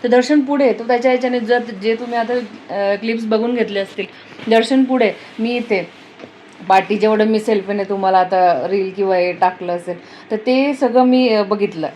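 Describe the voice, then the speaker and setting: A woman's voice: mid-range voice, normal speed, a little mellow, a little hiss or hum, poor audio. A woman from India chatting casually as a travel vlogger, telling stories and mixing in English words. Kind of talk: casual vlog chat.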